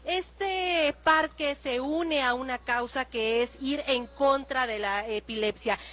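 Field reporter, female, high and upbeat, on location outdoors. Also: a bit bright. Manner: upbeat, promotional. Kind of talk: live on-location news report. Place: outdoor amusement park.

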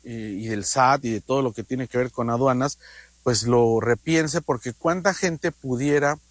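A man's voice: medium pitch, a little slow, dry recording. A man with a Mexican accent talking conversationally as a radio host, explaining travel matters and repeating phrases for emphasis.

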